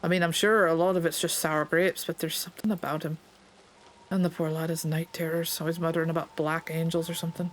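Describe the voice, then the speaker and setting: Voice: mid-range voice, flat delivery, quick pace, a little hiss or hum, close to an everyday voice. A player reading aloud the lines of a plainspoken Scottish stable master in a game, in a folksy, understated way.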